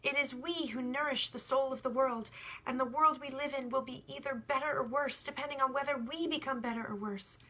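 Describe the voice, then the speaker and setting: A woman's voice: sits high, steady tone, a little fast, bright, forceful tone, pitched above the performer's own voice, poor audio. A woman voicing an earnest, bold young boy who philosophizes emphatically in a storybook read. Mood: passionate.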